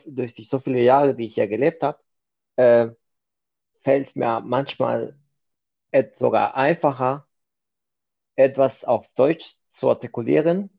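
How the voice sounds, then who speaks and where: A man's voice: medium pitch, gravelly. A man whose first language is Spanish, a podcast guest chatting loosely in German, with lots of fillers. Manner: loose, filler-heavy, says "weißt du" often. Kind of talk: casual interview chat. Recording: tinny phone-like line.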